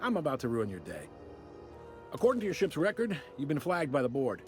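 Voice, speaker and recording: A wry customs officer on a video game space station. His folksy drawl is on the high side and very animated, droll and casually apologetic. Light music runs behind him. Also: a little fast. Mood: apologetic, official. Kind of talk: video game character acting.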